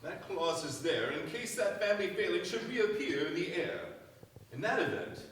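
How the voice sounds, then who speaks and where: A formal, authoritative family lawyer in a radio play, acted by a man. The voice is high and animated yet official, heard from a distance in a very echoey space. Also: moderate pace. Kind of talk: staged radio play acting. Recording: echoey stage, distant mic, faint hiss.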